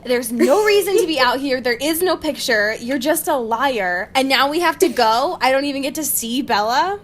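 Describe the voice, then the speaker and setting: A woman's voice: high-pitched, expressive, normal speed, slight background noise. A woman co-hosting a podcast in casual banter, giggly and sarcastic, given to exclaiming. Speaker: podcast co-host. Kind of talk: casual chat, book recap banter.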